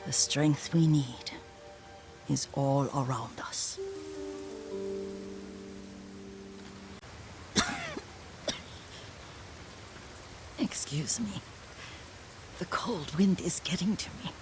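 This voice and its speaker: An actress playing a gentle, wistful elderly woman, a lord's devoted caretaker, in a video game, with a soft, breathy, reflective delivery. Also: sits low, expressive, music in the background, exaggerated voice. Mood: reflective, frail. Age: older. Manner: slow, tender, reflective. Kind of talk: dramatic video game acting.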